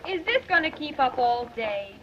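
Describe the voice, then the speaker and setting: A woman's voice: high voice, sing-song, normal speed. An actress playing a proud, wary young woman down on her luck, with prickly, clipped replies in 1930s film style. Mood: annoyed. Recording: muffled, noisy 1930s film soundtrack.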